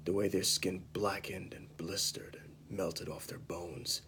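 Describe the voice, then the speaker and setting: A man playing a bitter disgraced knight confessing to killing a mad king, in a halting, dramatic amateur monologue that drops into whispers. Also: deep voice, level tone, noisy background. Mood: bitter, brooding.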